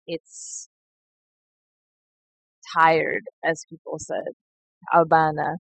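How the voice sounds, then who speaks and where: Young woman talking low and slow, reflective, pretty flat delivery, dry close sound. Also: vocal fry, dry recording, poor audio. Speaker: seed collective member. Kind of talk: recorded personal reflection.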